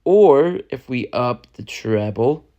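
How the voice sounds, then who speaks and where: A young man, a music producer, giving a loose, chatty step-by-step software lesson and often checking in with the listener. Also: mid-range voice, lively intonation, takes it slowly, highs cut off. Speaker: music producer teaching a tutorial. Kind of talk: casual step-by-step software tutorial.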